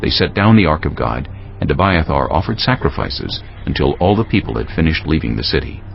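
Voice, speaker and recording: A man with a very low, creaky voice narrates a dramatized Bible with solemn weight and wide melodic swings. His delivery is measured and scripted, with music underneath. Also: big pitch swings. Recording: dull lo-fi audio with background music.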